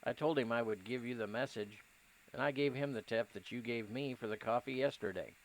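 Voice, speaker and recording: Radio play part: amiable male street vendor, acted by a man, mid-pitched, neighborly with his regulars. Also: brisk, slight background noise, lightly acted, poor audio. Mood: helpful. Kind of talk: old-time radio detective drama acting.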